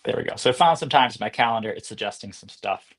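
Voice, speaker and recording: A man speaking as an interview guest, explaining and demonstrating software in a casual, conversational style. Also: mid-range voice, expressive, fast. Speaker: podcast guest, company co-founder and CEO.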